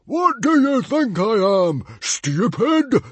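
A huge, pompous Viking chief from a storybook, high and strained in pitch with a husky edge. The delivery is slow and blustering, drawing out each indignant word. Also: male voice, very animated, no echo, exaggerated voice. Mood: furious, bellowing. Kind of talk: character voice in storybook narration.

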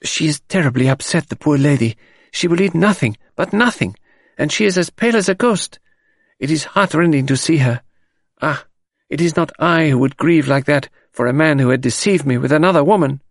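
A man acts out a chatty French housemaid from a storybook, well above his natural pitch. She laments with dramatic pity and heavy emphasis, her intonation swinging widely. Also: animated, fairly quick, no echo, clean studio sound. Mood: lamenting. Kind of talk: audiobook character voice.

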